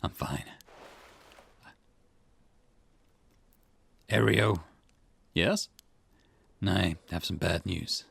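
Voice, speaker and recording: A man voicing a gruff, stern and weary police detective boss with a curt, admonishing manner in a visual novel game. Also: deep voice, slow pace, fairly bright tone, no room sound, full character voice. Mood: grave.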